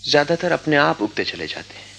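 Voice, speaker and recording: A man acting a stoic, earnest young man in a radio drama, speaking in a measured, philosophical way. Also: on the high side, big pitch swings, gravelly, pushed and bright, slightly rough, faint music behind, full character voice, low-quality recording. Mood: resigned, philosophical.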